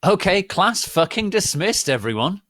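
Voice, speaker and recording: Man voicing a high-voiced young gothic witch teacher. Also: big pitch swings, moderate pace, a bit bright, dry recording, studio quality, full character voice. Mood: brisk. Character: young woman witch teacher, gothic, brisk and foul-mouthed.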